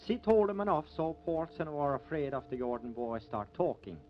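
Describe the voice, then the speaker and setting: A man's voice: a little high, expressive, moderate pace, faint music behind, natural voice. An actor playing a plain-spoken country lawman with ungrammatical folksy speech, in the style of 1950s radio drama. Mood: matter-of-fact. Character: folksy male rural sheriff and gruff, defensive deputy. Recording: muffled, noisy old radio recording.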